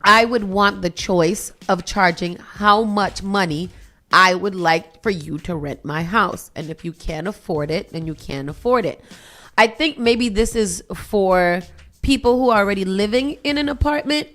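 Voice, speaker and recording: A woman's voice: mid-range voice, a little slow, a bit bright. A woman co-hosting a morning radio talk show, chatting bluntly and casually with slang. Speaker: radio co-host.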